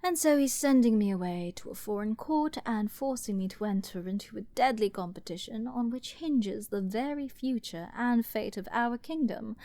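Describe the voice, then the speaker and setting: Woman acting a slow-talking arrogant scheming princess. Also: medium pitch, mellow. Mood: haughty, sly. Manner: haughty, theatrical, slow and teasing. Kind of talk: dramatic fantasy audio roleplay with ASMR whispering.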